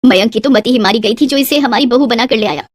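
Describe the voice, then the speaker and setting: A woman's voice: on the high side, fast, no echo, close to an everyday voice. A woman voicing an exasperated middle-aged mother-in-law in a story, scolding and lamenting dramatically. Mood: dismayed.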